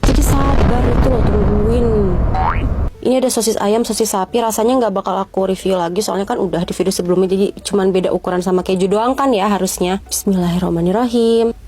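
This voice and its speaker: A woman giving a casual, chatty food review as she eats. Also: fairly high pitch. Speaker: food reviewer in short social videos. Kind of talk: casual mukbang review chat.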